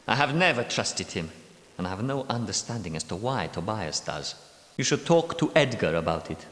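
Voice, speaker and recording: An actor playing a wily, outwardly timid medieval knight in a video game, speaking in formal, archaic phrases with theatrical delivery. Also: male voice, on the low side, sing-song, a bit bright. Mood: grave, wary. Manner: formal, archaic, measured diction. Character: cunning male Grail knight, outwardly timid and nervous, secretly scheming. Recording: echoey hall with long reverb.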